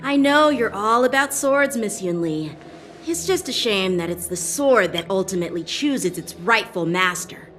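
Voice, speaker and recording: A woman voicing a polite young rival fighter in a video game, composed, formal and quietly challenging. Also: medium pitch, lively intonation, moderate pace, soft background music, lightly acted. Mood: needling. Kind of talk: dubbed video game cutscene dialogue.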